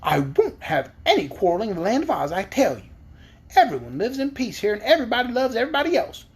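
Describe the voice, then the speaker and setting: A reader voicing a spirited young girl who speaks brightly and firmly. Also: mid-range voice, sing-song, fast, voice pushed up. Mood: stern. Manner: bright, firm when scolding.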